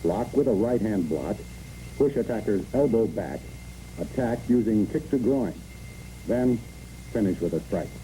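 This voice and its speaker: A man's voice: lowish voice, expressive, measured pace, mellow, a little hiss or hum. A man reads scripted instructional narration for a training film in clipped, imperative phrases, on a muffled old film recording.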